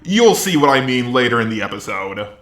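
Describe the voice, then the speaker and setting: A man's voice: mid-range voice, very animated, fairly quick, a bit bright. A man giving informal review commentary with wry, joking asides. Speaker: video reviewer. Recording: roomy, echoey sound.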